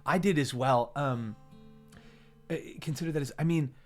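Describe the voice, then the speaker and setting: A man's voice: medium pitch, moderate pace, faint music behind. A man hosting a casual chat interview, talking in an enthusiastic, slangy way. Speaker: interview show host.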